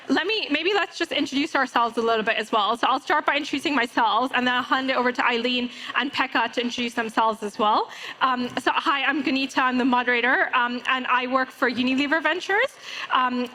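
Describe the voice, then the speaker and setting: Woman, panel moderator, bright high voice, conversational, live stage with faint ambient noise. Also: rough recording. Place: conference main stage.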